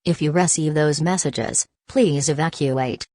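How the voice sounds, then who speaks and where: A woman playing a formal, measured TV news anchor reading a scripted breaking news bulletin. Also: low-pitched, warm tone, no echo, studio quality. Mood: serious, composed.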